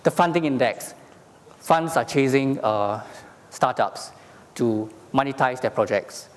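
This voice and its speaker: A man's voice: medium pitch, a little slow. A man from Singapore gives a conference talk in a mid-range voice, explaining his points step by step. He leans on fillers and tag questions as he goes. His voice carries a long reverb from a large hall. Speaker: conference speaker.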